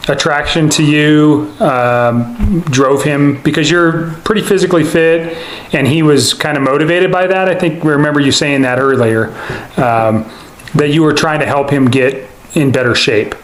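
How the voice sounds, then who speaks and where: Higher-pitched American man interviewing in echoey room. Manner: casual, halting, rambling questions. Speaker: police investigator conducting interview. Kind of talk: informal investigative interview. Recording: echoey room, faint noise.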